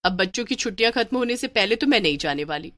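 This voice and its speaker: A woman voicing an outspoken, exasperated mother of two in an animated story. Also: medium pitch, fast, no echo, natural voice. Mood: settled. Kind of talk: animated Hindi story acting.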